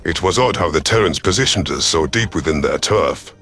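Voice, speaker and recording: A man voicing a proud, gruff reptilian predator commander who narrates a sci-fi story in the first person, stern and formal. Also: low-pitched, expressive, fairly quick, music in the background. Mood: grave narration. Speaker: narrator in character.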